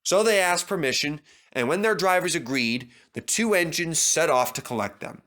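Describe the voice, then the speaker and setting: A man narrating a scripted children's story in a theatrical, expressive way. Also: fairly high pitch, normal speed.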